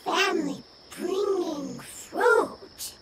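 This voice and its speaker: Slow, high-voiced little forest creature. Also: female voice, very noisy, heavily acted. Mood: halting, worried. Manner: halting, clipped one- or two-word phrases. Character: small forest creature with broken, halting speech. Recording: loud game music behind.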